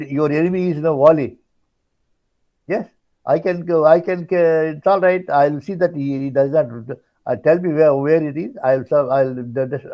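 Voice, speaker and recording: An older man with an Indian accent giving an informal religious discourse, retelling stories in halting, repetitive phrases as a spiritual teacher. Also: medium pitch. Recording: dull lo-fi audio.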